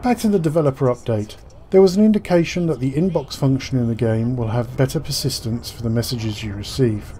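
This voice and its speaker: Man, gaming commentator, dark mellow tone, articulate scripted narration, medium pitch, faint noise behind. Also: a little fast.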